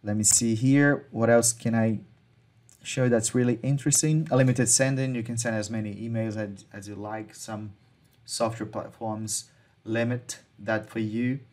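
A man with a Brazilian Portuguese accent, a non-native English speaker, casually walking through software features on a screen share, often restarting his sentences. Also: mid-range voice, fairly even intonation, moderate pace. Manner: informal, restarts and self-corrections, non-native phrasing. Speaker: marketing tutorial host.

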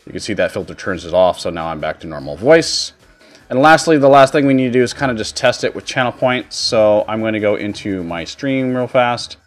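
Low-voiced man giving a casual tutorial. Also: a little fast. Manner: informal step-by-step explaining. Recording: music behind the voice. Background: background music.

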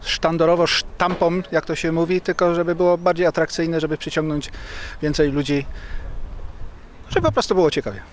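A man's voice: fairly high pitch, steady tone, normal speed, a little hiss or hum. A man in his fifties answering interview questions plainly as a commander, searching for words at times. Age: 50s. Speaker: fire brigade commander. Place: outdoors, fire station yard. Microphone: handheld interview microphone.

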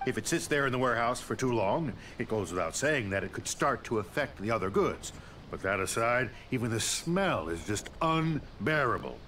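An actor voicing a nervous warehouse clerk in a video game, talking in a flustered, anxious, over-eager way, with music playing behind. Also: male voice, mid-range voice, a bit bright, slight background noise. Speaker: game character.

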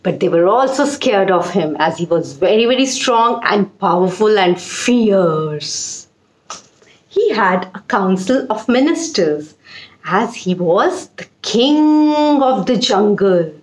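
A woman in her forties with an Indian accent, telling a children's story with deliberate, emphatic repetition. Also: mid-range voice, lively intonation. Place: small indoor room. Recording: roomy echo.

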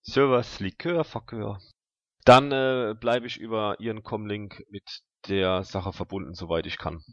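A man's voice: on the low side, steady tone, unhurried, dry recording, highs cut off. A man playing a tabletop role-playing game, chatting casually with the game master.

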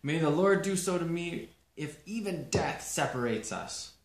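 A man's voice: on the high side, expressive, measured pace, voice pushed up. A man voicing a devoted young widow who insists on staying with her mother-in-law, speaking earnestly and emphatically. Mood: pleading, determined. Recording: roomy echo.

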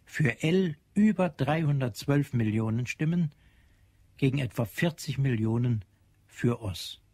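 A man's voice: mid-range voice, expressive, a little slow, no room sound. A man narrating a scripted science-fiction story in a dramatic style. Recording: loud music behind the voice.

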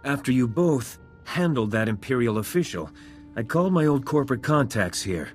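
An actor playing a composed, idealistic resistance politician in a video game, measured and formal. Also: male voice, medium pitch, big pitch swings, lightly acted. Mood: composed, earnest. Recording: music playing behind the voice.

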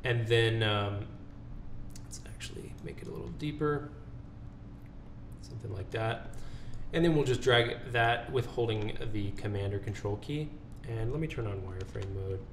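A man with a lowish voice talks through a software tutorial in an informal way, thinking aloud as he goes. The recording is clearly echoey and noisy, with computer keyboard typing behind him. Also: moderate pace. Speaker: tutorial presenter. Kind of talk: casual screen-recorded software walkthrough.

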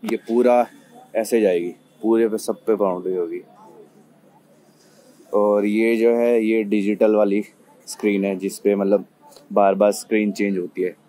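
A man's voice: lowish voice, flat delivery, measured pace, long pauses, mellow, a little hiss or hum, rough recording. Chatty young man vlogging at a stadium. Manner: chatty, laughs often. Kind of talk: casual vlog chat. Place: cricket stadium, outdoors.

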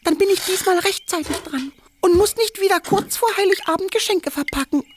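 A woman voicing an eager, impatient, childlike little boy king in a children's cartoon, with expressive exclamations. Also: extremely high pitch, fairly quick, noisy background. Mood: excited, busy. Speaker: main character of children's cartoon. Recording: music under the voice.

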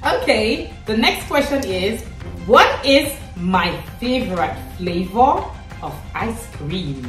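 A woman's voice: medium pitch, animated, takes it slowly, a bit bright. A woman in her thirties from Cameroon, a vlogger mother hosting a casual family quiz at home in a playful, teasing way. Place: indoor living room at home. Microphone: camera set back from the speaker. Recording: echoey room, distant camera, faint music.

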